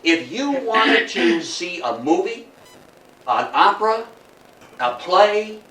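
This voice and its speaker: A man giving a live history talk to a room as an animated, folksy storyteller, with emphatic repetition, rhetorical questions and asides. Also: high voice, slow pace. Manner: folksy, emphatic, repeats phrases for effect. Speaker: history lecturer. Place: indoor room, talk before an audience. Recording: roomy, distant mic, faint background noise.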